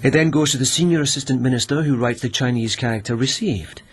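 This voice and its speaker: An actor in a radio drama playing a gentle, lovelorn police lieutenant, speaking in a deliberate, wry, musing way. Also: male voice, medium pitch, a little hiss or hum, lightly acted. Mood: measured, explanatory.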